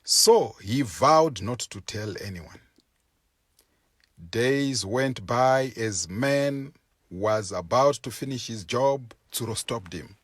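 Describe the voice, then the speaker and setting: A male storyteller narrates a folk tale with a slightly rough, husky voice. His pitch rises and falls widely as he goes, and he takes his time over each phrase in a deliberate, measured way. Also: expressive, fairly bright tone, no echo, studio quality. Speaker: storyteller reading a written tale.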